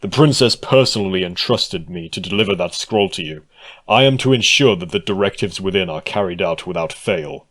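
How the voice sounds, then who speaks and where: A man voicing a large, stern male pegasus guard who speaks in a flat, formal, commanding way. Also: low-pitched, a little fast.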